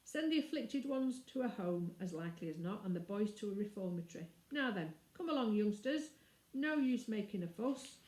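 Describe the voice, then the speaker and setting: A woman's voice: medium pitch, expressive, moderate pace, a little mellow, reverberant, close to an everyday voice. A woman voicing an officious male policeman with a brusque, commanding manner.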